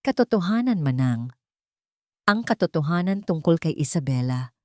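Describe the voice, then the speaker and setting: A woman's voice: sits low, expressive, moderate pace, dark tone, no room sound, studio quality. Woman playing a polite, determined young housemaid. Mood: grave.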